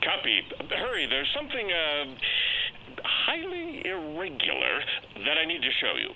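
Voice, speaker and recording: An actor playing an excitable, awkward fireman who calls hesitantly over a walkie-talkie radio. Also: on the high side, measured pace, creaky, full character voice. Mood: awkwardly urgent. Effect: walkie-talkie radio filter. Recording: crackly walkie-talkie with faint noise.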